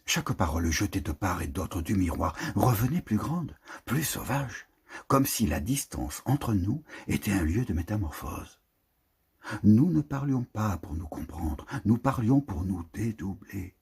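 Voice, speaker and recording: A very husky, breathy man reads literary prose poetry. His delivery is slow and solemn, with deliberate phrasing and a pitch that swings widely as he declaims. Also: very animated, a little mellow. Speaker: reader of a prose poem.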